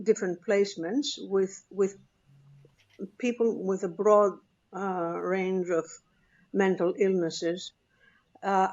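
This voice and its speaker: A woman, a clinical psychologist speaking on a panel in a measured, thoughtful way with many hesitations. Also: medium pitch, a little mellow, muffled recording. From Greece. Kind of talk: panel discussion.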